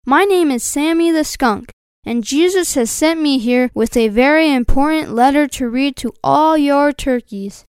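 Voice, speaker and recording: A man's voice: very high voice, unhurried, no echo, studio quality, pitched above the performer's own voice. A man voicing a cheerful, earnest young male skunk in a children's story, speaking simply and politely.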